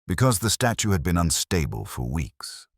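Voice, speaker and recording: A male reader plays a measured police inspector in a detective story. He is gentle but quietly firm and formal in his phrasing. His voice is extremely low, with a husky grain. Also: very animated, no echo, clean studio sound, exaggerated voice. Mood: quietly stern. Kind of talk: dramatised detective story dialogue.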